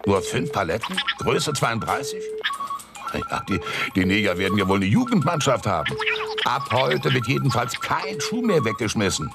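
A man's voice: mid-range voice, big pitch swings, moderate pace, creaky. A man playing a blustering, tight-fisted middle-aged company boss in a radio play, muttering and smug by turns. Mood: smug, callous. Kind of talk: radio drama acting. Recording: loud music under the voice.

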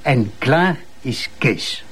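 A Flemish actor playing a pompous, exasperated public prosecutor who lectures impatiently in a TV crime drama. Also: male voice, mid-range voice, animated, extremely slow, fairly bright tone, breathy edge, full character voice. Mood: lecturing. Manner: lecturing, repeats words impatiently. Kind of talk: naturalistic TV crime drama acting.

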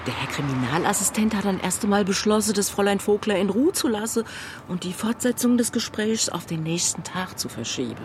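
A woman's voice: mid-range voice, animated, a little fast, close to an everyday voice. A woman playing a teasing, sardonic character in a radio crime drama, dry and mocking. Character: teasing, sardonic woman quizzing a police detective. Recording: clear background ambience noise.

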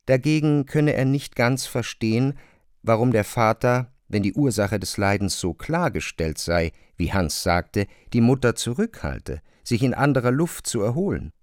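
A man narrates a literary radio play in diction. His delivery is measured and deliberate, and the studio sound is close and dry. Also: mid-range voice, animated, dry recording.

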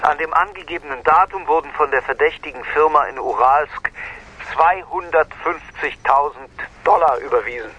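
Forceful, higher-voiced police official. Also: male voice, moderate pace, breathy edge, muffled recording. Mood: businesslike. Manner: formal, precise, official briefing. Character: businesslike, insistent male senior police investigator. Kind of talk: radio drama dialogue.

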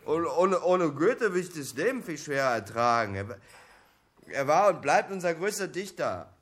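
A man acting an earnest investigator in a naturalistic radio drama, speaking colloquially and haltingly. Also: a little high, big pitch swings, fairly bright tone. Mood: indignant, earnest.

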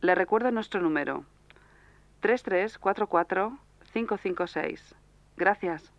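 A woman's voice: mid-range voice, measured pace, gravelly, slight background noise. A woman playing a formal, businesslike secretary leaving a scripted answering-machine message. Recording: dull answering-machine sound.

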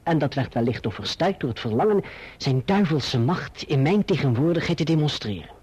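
Earnest young gentleman in radio drama: formal, husky, expressive pitch, muffled recording. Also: fairly quick. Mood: resentful, reflective. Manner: formal, literary. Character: earnest, mannerly young gentleman recalling his past. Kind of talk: radio play narration and dialogue.

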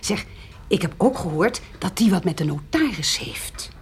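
An actress playing a caring farmer's wife, speaking in a soothing, careful way in a radio play. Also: female voice, on the low side, lively intonation, normal speed, a little hiss or hum, close to an everyday voice. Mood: cautious. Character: caring, careful middle-aged farmer's wife visiting her husband. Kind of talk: Dutch radio play dialogue.

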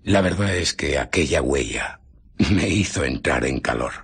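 An actor playing a bitter, haunted scientist and fugitive, recounting his ordeal in a radio play with theatrical, deliberate pauses. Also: very deep voice, animated, fairly bright tone, husky, heavily acted. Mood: tense recounting. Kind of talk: dramatic radio play narration.

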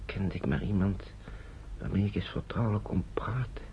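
An actor playing a weary, worried guardian of refugee children in a radio play, thinking aloud in a halting, breathy, gravelly murmur. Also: male voice, low voice, whispered, close to an everyday voice. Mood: worried, brooding. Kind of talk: radio play acting, murmured inner monologue. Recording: dull old radio play recording, faint noise.

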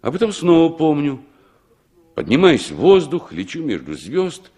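An actor playing a middle-aged former war commander who recalls his survival with unhurried, expressive pauses, in a 1960s radio drama style. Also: on the high side, slightly rough, exaggerated voice. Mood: haunted, reflective.

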